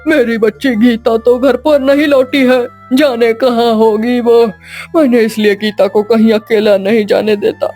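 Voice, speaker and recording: A performer voicing a concerned, earnest character in an animated children's story. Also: medium pitch, lightly acted. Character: worried grandfather, and a captive little genie.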